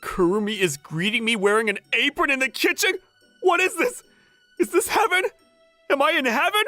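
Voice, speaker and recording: A woman's voice: fairly high pitch, brisk, no echo. A woman voicing a flustered, lovestruck young working man who gushes and stammers in a manga dub. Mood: overjoyed. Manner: excitable, stammering, gushing. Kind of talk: manga dub voice acting with narration. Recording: music under the voice.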